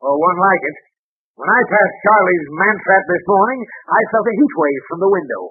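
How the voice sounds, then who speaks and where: An actor playing a blustering, sarcastic company boss in a gruff, cutting 1930s radio comedy style. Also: male voice, high voice, lively intonation, normal speed. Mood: scornful outrage. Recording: muffled old 1930s radio recording.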